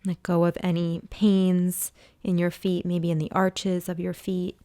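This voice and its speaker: A woman leading a guided body scan meditation as a teacher, speaking in a soothing, hushed way with long pauses. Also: on the low side, level tone, no echo, studio quality.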